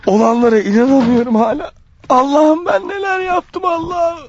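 An actor playing a jumpy, guilt-ridden husband in a radio play, stammering, snapping and evasive. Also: male voice, very high-pitched, steady tone, moderate pace, muffled recording, a little hiss or hum. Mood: anguished. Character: panicky, guilt-ridden husband turned killer on the run. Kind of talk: radio play acting with muttered monologues.

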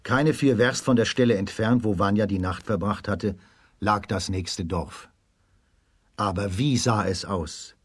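A man narrating a children's radio play as a storyteller, in a measured storybook delivery. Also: on the low side, husky, no echo.

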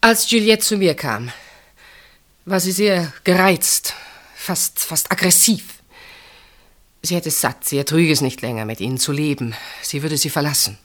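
A woman's voice: low voice, unhurried. An actress playing a guarded sister-in-law in a German radio play, defending her sister. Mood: grave, urgent.

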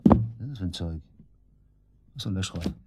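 A man's voice: low voice, expressive, normal speed, very noisy. An actor playing a brash, sarcastic murder suspect under police questioning in a radio drama, crude and defiant in his slangy talk. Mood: defiant, disbelieving. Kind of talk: naturalistic German radio drama acting.

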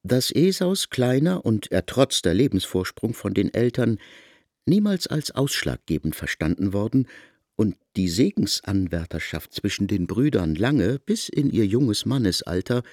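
Male narrator for literary drama. Deep-ish register, highly varied melody, formal, expressive delivery. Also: a little slow, no room sound, clean studio sound.

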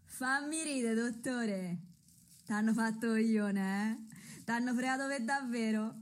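An actress playing a coarse, weary streetwalker who talks with sardonic familiarity in an Italian radio drama. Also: female voice, on the high side, slow. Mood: mocking.

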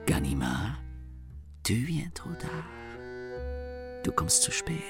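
An actor playing a mocking master thief in a radio play, a haunting voice drawing out its taunts. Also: male voice, mid-range voice, wildly swooping pitch, loud music behind the voice, exaggerated voice. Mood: taunting. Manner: slow, drawn-out, mocking.

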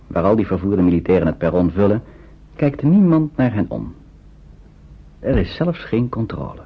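A man narrating a scripted wartime radio drama in a measured storytelling style, with a husky voice on an old, narrow recording. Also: deep voice, exaggerated voice.

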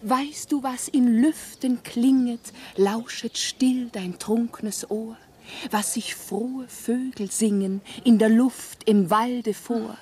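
An actress playing a tender, lovestruck, dreamy woman, reciting lyrically in a breathy voice in a radio drama. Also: on the high side, slow, a little mellow.

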